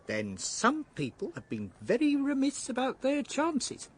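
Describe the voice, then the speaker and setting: A man's voice: extremely high pitch, huge pitch swings, unhurried, slightly rough, full character voice. An actor with an English accent playing a whimsical, talkative Edwardian shopman in a radio drama, playfully florid with invented long words. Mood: jovial.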